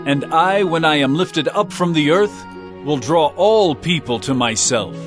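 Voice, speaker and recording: Earnest bible savior, solemn n emphatic, higher voice, music behind him. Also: male voice, expressive, measured pace. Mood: resolute. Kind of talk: dramatized audio Bible acting.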